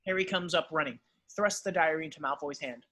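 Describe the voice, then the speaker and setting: A man's voice: fairly high pitch, fairly quick, bright, forceful tone. A man reading screenplay stage directions as dramatic scripted narration.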